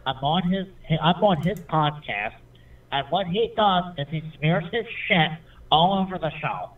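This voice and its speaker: A man calling in to a show, speaking in a nasal voice with a halting delivery. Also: on the high side, expressive, distant mic. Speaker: caller. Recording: tinny phone line.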